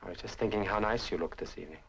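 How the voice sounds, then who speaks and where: An actor playing a testy, conniving nephew in a radio drama, formal and curt. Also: male voice, fairly low pitch, rapid-fire, fairly bright tone, slightly rough, low-quality recording. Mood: feigned innocence. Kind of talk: old-fashioned radio drama acting.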